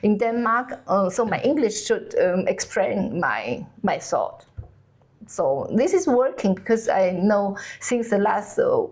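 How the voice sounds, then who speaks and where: Halting woman, native Chinese speaker. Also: mid-range voice, sing-song, darkish tone. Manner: halting, searching for words in English. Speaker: cook and channel co-host. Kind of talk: casual conversation.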